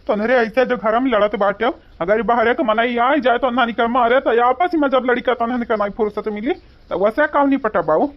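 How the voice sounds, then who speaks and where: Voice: medium pitch, moderate pace, muffled recording, lightly acted. A performer voicing a fretful older village father in a cartoon, pleading with his family in an Awadhi accent. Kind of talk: cartoon voice acting.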